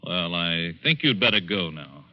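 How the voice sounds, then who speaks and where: A man's voice: low-pitched, sing-song, a little slow. An actor playing an indignant, scornful man, blustering and dismissive, in the style of 1940s radio suspense. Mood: curt, suspicious. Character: indignant, scornful male intended murder victim. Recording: dull lo-fi 1940s radio broadcast.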